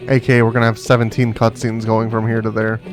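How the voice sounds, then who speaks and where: A man's voice: lowish voice, monotone, fast, music in the background. A man giving casual, dry commentary as he streams a video game.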